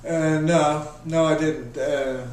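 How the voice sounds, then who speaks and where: A man in his thirties with a Danish accent, answering interview questions in a halting, casual way with many restarts. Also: a little high, fairly even intonation, a little mellow, slight background noise. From Denmark. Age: 30s. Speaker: interviewee, volunteer volleyball coach. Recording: echoey room, voice from a distance.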